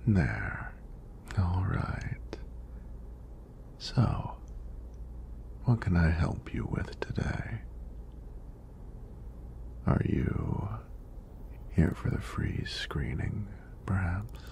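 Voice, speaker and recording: A man playing a friendly, teasing EMT in a soft-spoken ASMR roleplay, with a deep, breathy, gravelly voice and an unhurried, reassuring manner. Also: very animated, heavily acted. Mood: calm, attentive. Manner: unhurried, reassuring, lightly playful. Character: friendly, teasing young male EMT doing a screening.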